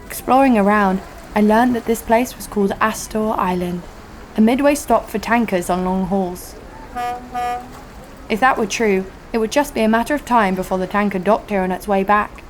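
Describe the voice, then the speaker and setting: A woman's voice: medium pitch, normal speed, slight background noise, lightly acted. A woman playing a cocky, foul-mouthed adventurer, narrating and speaking in an audio drama with a sardonic manner. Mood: wry narration. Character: brash, sarcastic, foul-mouthed female adventurer narrating her exploits. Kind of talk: first-person audio drama narration and dialogue.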